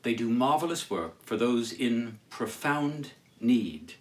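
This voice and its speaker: A man giving a formal, declamatory literary reading as a storyteller, with deliberate phrasing and dramatic pauses. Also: medium pitch, expressive. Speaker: storyteller reading as Charles Dickens. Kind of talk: theatrical literary reading with introduction.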